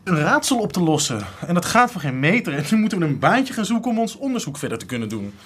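A man's voice: sits high, big pitch swings, fast, fairly bright tone, breathy edge, heavily acted. An actor playing a frustrated young male student, casual, grumbling and sarcastic, in a modern radio drama. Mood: frustrated, sardonic. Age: young adult.

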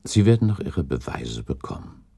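A man acting in a German radio play as a shrewd, composed gentleman accuser, speaking formally and deliberately with insistent, probing weight. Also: extremely low pitch, very animated, husky, exaggerated voice.

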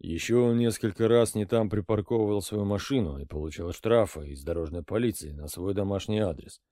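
A man voicing a low, composed intelligence colonel. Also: warm tone, no room sound, studio quality, natural voice. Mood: even. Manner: measured, matter-of-fact reporting. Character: composed middle-aged male intelligence colonel. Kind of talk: audiobook character reading.

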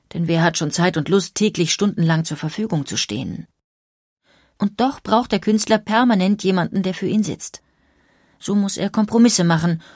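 A woman narrator reading a scripted documentary portrait with measured, precise, literary phrasing. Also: medium pitch, darkish tone, dry recording, studio quality.

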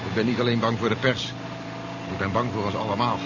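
A man's voice: on the low side, steady tone, fast talker, natural voice. A man acting a stern, worried general in a 1970s radio drama, clipped and authoritative in his delivery. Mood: worried, gloomy. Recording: muffled noisy 1970s radio recording.

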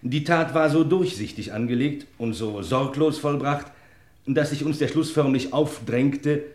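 A man's voice: medium pitch, unhurried, a little mellow, close to an everyday voice. Man playing a methodical inspector, roomy sound. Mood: explanatory. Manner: methodical, official. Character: matter-of-fact police inspector laying out evidence. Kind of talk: German radio crime play acting.

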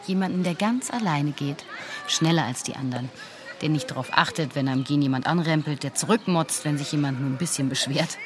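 A woman's voice: deep voice, a little fast, noisy background, natural voice. An actress playing a guilt-ridden former teacher in a naturalistic radio drama, direct and questioning in her manner. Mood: probing. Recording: busy urban outdoor crowd behind.

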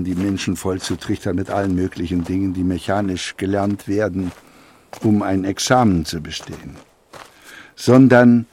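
An actor playing a principled, plain-spoken early twentieth-century industrialist in an audio documentary, deliberate, emphatic and didactic in delivery. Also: male voice, lowish voice, a little mellow, slight background noise, lightly acted. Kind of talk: acted quotation in an audio documentary.

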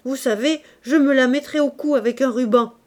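A boastful, sly man in his prime speaks loudly and teasingly, as a woman reading the story puts him on. His voice rides high and bold as he spills gossip, for a storybook reading. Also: brisk, lightly acted. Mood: laughing.

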